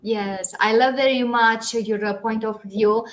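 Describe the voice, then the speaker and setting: Woman from europe hosting a chat, kinda high voice, encouraging, lots of uhs. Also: steady tone, unhurried. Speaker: host. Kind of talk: casual interview.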